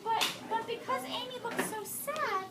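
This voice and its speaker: A woman teacher reading a picture book aloud to young children, expressive, pausing to explain words and ask questions. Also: extremely high pitch. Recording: echoey noisy room from far off.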